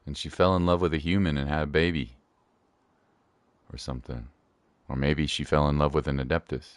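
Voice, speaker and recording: A man's voice: extremely low pitch, steady tone, a little fast, no room sound. A man chatting casually as a live game streamer, easygoing and prone to repeating himself, close on the mic.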